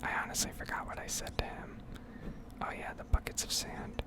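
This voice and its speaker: A woman speaking in a breathy whisper, giving unhurried, meandering ASMR commentary over gameplay. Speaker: gaming ASMR video creator.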